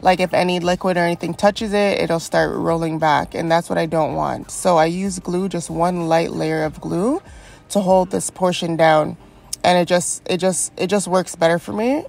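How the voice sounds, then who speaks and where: A woman's voice: fairly low pitch, steady tone, normal speed, creaky, darkish tone. Congested woman narrating a wig tutorial. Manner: chatty and encouraging, frequent ums. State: congested from a cold. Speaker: wig stylist and beauty vlogger. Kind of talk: step-by-step tutorial voice-over.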